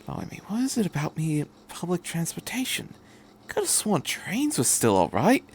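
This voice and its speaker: A man's voice: on the high side, wildly swooping pitch, moderate pace, slightly rough, pitched above the performer's own voice, full character voice. A man voicing an upbeat, eccentric male traveller who rambles in British slang, in a storybook reading. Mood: exasperated.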